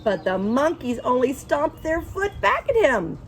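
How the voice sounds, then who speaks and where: A woman reading a picture book aloud to young children in an expressive way, engaging her listeners as she goes. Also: high-pitched, creaky, a little hiss or hum. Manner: expressive, pauses to ask listeners questions. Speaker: children's storybook reader.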